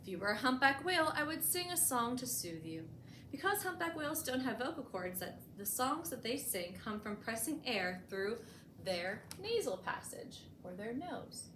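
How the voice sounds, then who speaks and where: A woman reading a picture book aloud for children as a storytime host, expressively and with deliberate emphasis, adding short explanatory asides. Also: on the high side, sing-song. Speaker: librarian hosting a bedtime storytime. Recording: echoey room with clear background noise.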